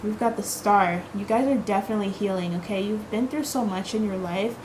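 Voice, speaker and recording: A woman reads tarot cards for her viewers in a casual, reassuring ramble, leaning on fillers and "okay?" tags. Her pitch sits in an ordinary middle range. There is clear background noise behind her voice. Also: fairly quick. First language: English. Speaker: tarot card reader.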